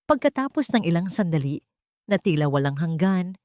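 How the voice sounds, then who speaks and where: A woman reading scripted first-person dramatic narration in measured, deliberate phrases with dramatic emphasis. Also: lowish voice, very animated, a little mellow, no room sound, studio quality. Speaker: story narrator.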